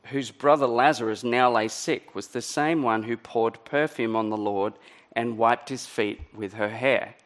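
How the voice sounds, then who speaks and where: A middle-aged man preaching a sermon in a conversational way, his voice a little croaky. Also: mid-range voice. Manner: conversational, many ums and restarts. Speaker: pastor.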